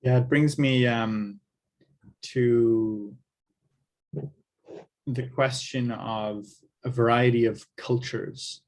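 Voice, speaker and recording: A man's voice: mid-range voice, steady tone, very slow, long pauses, darkish tone, rough recording. A man who grew up in Africa, hosting a podcast and talking in a hesitant, searching way with plenty of fillers. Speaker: podcast host. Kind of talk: casual conversation.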